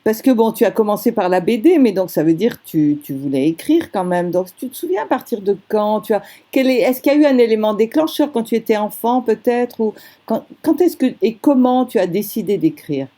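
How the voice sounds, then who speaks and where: A woman interviewing an author over a video call, chatty and quick to agree. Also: mid-range voice, animated. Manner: warm-hearted, chatty, lots of quick agreements.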